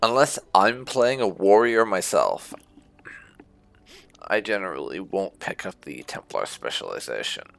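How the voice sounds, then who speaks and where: A man's voice: low voice, lively intonation, a little slow, gravelly, a bit bright. A man giving casual, explanatory playthrough commentary as a gaming host, talking informally and close to the mic. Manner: informal, explanatory, reading dialogue choices aloud.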